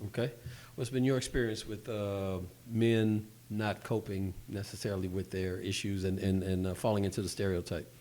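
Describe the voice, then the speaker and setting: A man's voice: fairly low pitch, flat delivery, normal speed, few pauses, darkish tone. A man hosting a podcast panel, introducing guests and asking short questions. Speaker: podcast host.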